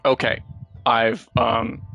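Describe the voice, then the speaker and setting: A man's voice: mid-range voice, flat delivery, takes it slowly, gravelly, pushed and bright, muffled recording, faint music behind. A man streaming a game and chatting casually as he plays. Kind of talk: casual gameplay chat.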